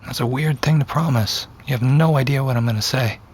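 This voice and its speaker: Guarded, deadpan young man acted by a man. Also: medium pitch, fairly quick, husky, close to an everyday voice. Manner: deadpan, terse. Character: guarded, sardonic young man, wounded and evasive. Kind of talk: naturalistic audio drama acting.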